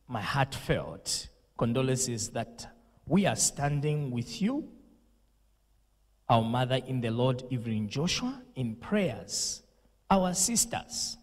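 A young pastor speaks slowly and solemnly into a handheld mic, with the emphasis of a preacher. His voice is husky, and a long reverb trails behind it. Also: mid-range voice. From Zambia. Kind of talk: condolence message and prayer. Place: indoor studio set with purple lighting.

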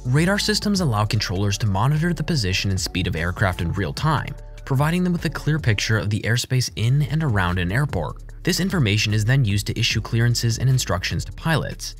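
Low-voiced male documentary narrator over music. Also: sing-song, brisk. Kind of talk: scripted explainer narration. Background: background music.

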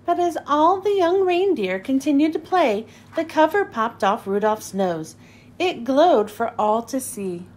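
Very high, animated woman reading a storybook. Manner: animated, emphatic. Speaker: children's book reader. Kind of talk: expressive storybook read-aloud.

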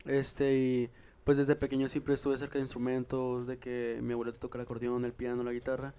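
A man's voice: mid-range voice, completely flat delivery, a little fast, warm tone, clean tone. A young man, a musician guest in a casual podcast chat, easygoing with hesitant fillers, on a handheld mic in a small room. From Mexico. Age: young adult. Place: small room, seated on a couch. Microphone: handheld microphone.

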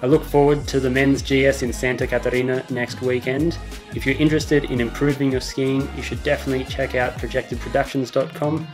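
A young man presenting a ski racing recap vlog from his living room, in a measured, even delivery. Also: mid-range voice, faint music behind. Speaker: ski vlogger. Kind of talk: scripted recap commentary. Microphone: small mic on tripod at desk.

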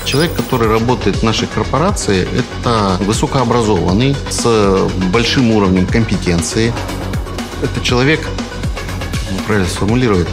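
Gravelly middle-aged executive. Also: male voice, lowish voice, moderate pace. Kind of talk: corporate interview. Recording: loud music bed under voice.

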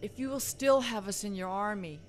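Casting: actress as solemn, proud warrior woman for a fantasy game, mid-pitched voice, slight noise behind. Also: normal speed, close to an everyday voice. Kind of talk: video game cutscene acting.

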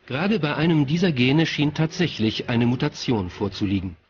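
A man's voice: mid-range voice, expressive, moderate pace, highs cut off, a little hiss or hum. A man reading scripted documentary narration in the role of narrator.

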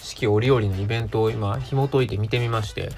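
A man's voice: on the low side, fairly quick, vocal fry, a little mellow, soft background music. A man around thirty with a Kansai accent, hosting a casual radio show as a solo monologue.

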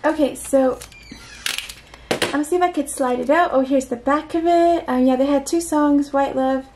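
A woman with a high voice chats casually to herself through an unboxing, prone to giggles and small exclamations. She is recorded indoors at a tabletop, with faint noise behind her. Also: normal speed. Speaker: unboxing vlogger.

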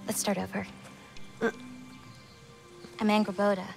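A woman's voice: medium pitch, little pitch movement, takes it slowly, vocal fry. A woman playing an earnest, quirky teenage giantess in a video game cutscene, friendly and a little awkward. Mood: friendly, brisk. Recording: game audio with music behind.

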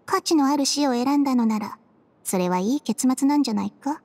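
A woman voicing a blunt, emotional supernatural companion in a Japanese video game, with rough, dramatic phrasing. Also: high voice, brisk, a little mellow, a little hiss or hum. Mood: resigned.

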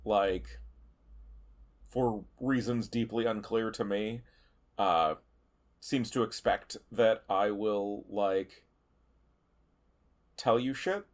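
A man playing a tabletop game, improvising casually in a dry, halting way. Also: lowish voice, fairly even intonation.

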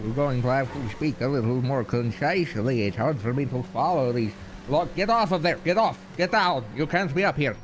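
A man's voice: mid-range voice, fairly quick, few pauses, slight background noise, close to an everyday voice. A man voicing a childish, bossy 82-year-old man who scolds with repeated short orders. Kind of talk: roleplay game dialogue.

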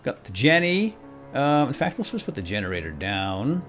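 A man's voice: medium pitch, sing-song, moderate pace, fairly bright tone, faint music behind. A man hosting a gaming video with playful, exclamatory role-play commentary, talking to himself and his viewers.

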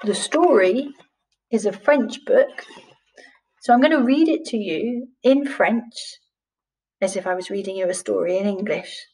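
A woman in her forties teaching young children through a picture book, speaking in a carefully paced, expressive way. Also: medium pitch, distant mic, rough recording. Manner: slow, clearly paced, with expressive questioning intonation. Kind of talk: storytime lesson for young children. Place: small plain room indoors.

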